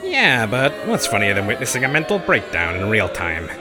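Guy doing a cheeky alien buddy, medium pitch, voice swooping all over, loud music. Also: huge pitch swings, normal speed. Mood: gleeful. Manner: gleeful, teasing. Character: gleeful, cheeky male alien sidekick, amused by chaos. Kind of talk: comedic audio drama acting.

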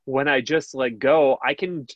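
A man with a high, nasal voice hosting a radio show, chatting casually and rambling with lots of fillers. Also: fairly even intonation, a little slow, no echo, highs cut off. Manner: rambling, many fillers and restarts, laughs easily. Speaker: radio host. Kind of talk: casual interview chat.

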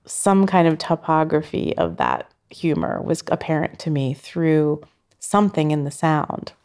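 A woman sound artist speaks in a low register with a gravelly fry running through her words. She talks reflectively and loosely, searching for her phrasing as she goes. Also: very animated, unhurried, a little mellow. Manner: thoughtful, loosely phrased with frequent "like". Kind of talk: reflective interview talk.

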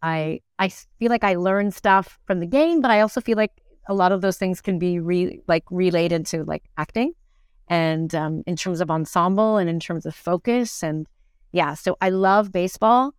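Clear-voiced woman chatting in an interview. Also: fairly low pitch, moderate pace, no room sound, studio quality. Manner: chatty, thinking aloud with frequent "like". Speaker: interview guest, actor. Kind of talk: casual conversational interview.

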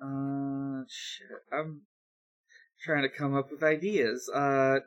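A man chatting casually as a podcast co-host at a slow pace. He is hesitant, feeling his way through each thought out loud. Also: medium pitch, little pitch movement. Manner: hesitant, thinking aloud. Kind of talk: casual chat.

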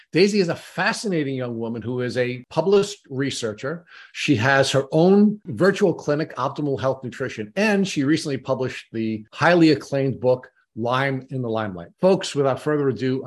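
A man hosting a podcast, introducing his guest enthusiastically and asking long, rambling questions. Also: mid-range voice. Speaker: podcast host. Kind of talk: podcast interview.

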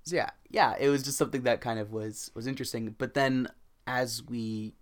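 A young man with a loud voice co-hosting a podcast, chatting casually, rambling with fillers and laughing often. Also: mid-range voice, fairly even intonation, normal speed. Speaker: podcast co-host. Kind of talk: casual chat.

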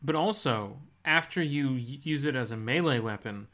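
A man's voice: medium pitch, expressive, moderate pace, fairly bright tone. A man co-hosting a podcast, talking casually and informally about video games. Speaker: podcast co-host.

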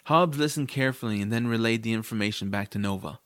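A man's voice: lowish voice, fast talker, a bit bright, dry recording. A man reading scripted first-person narration for a family fantasy audiobook. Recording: music behind the voice. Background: music.

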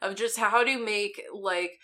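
A young woman co-hosting a tabletop game podcast in casual chat. She has a somewhat monotone voice and rambles in a relaxed way. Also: medium pitch, measured pace. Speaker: podcast player and co-host.

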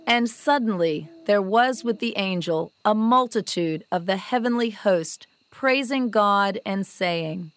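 A woman narrating a scripted Bible story in a measured, reverent storytelling delivery. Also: medium pitch.

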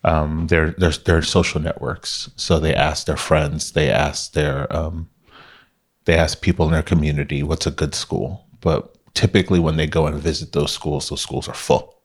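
Man from Miami, very low voice, interview guest, relaxed storytelling, frequent restarts. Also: moderate pace, husky. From Miami, Florida. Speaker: guest, company founder. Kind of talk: casual interview talk.

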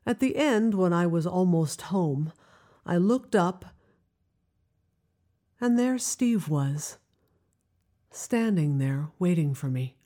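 A middle-aged woman telling a scripted personal story in a confiding, wry manner with deliberate pauses. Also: on the low side, lively intonation, dark tone. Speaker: writer and narrator of a personal audio drama.

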